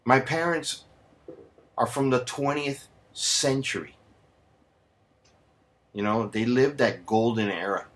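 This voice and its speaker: A man's voice: mid-range voice, slow pace, long pauses, fairly bright tone. A man from the United States, ranting heatedly and sarcastically on a vlog, swearing constantly and dropping in Spanish slang. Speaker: vlogger. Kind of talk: profane angry rant.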